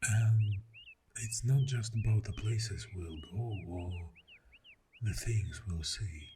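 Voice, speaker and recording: Birdsong and outdoor sound surround a low, soft male voice. A man acts a tender, clingy boyfriend in an ASMR roleplay. He talks extremely slowly and affectionately, often dropping to an intimate whisper, with lingering pauses. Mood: tender, dreamy. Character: clingy, tender, devoted boyfriend on a morning camping trip. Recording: steady outdoor nature sound noise. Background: birdsong and nature sounds.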